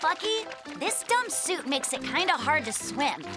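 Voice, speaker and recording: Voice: high-pitched, very animated, unhurried, over music. An actor voicing an earnest, gullible, slightly pompous little polar bear cub in a children's cartoon. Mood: stubborn.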